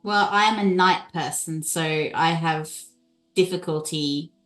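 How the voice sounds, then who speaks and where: A woman's voice: deep voice, a little slow, a bit bright, faint music behind. A woman chatting casually as a player in a tabletop role-playing game.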